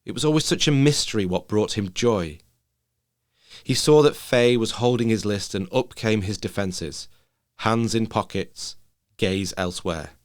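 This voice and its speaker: A man narrating a literary ghost story in a measured, hushed manner of short phrases. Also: fairly low pitch, no room sound, studio quality.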